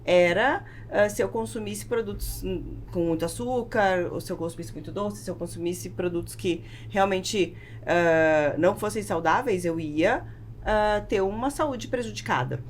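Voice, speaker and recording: A woman with a Brazilian accent telling a personal story as an interview guest, in an emphatic and deliberate way. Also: mid-range voice. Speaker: podcast guest, food business founder. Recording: clear background noise, room ambience.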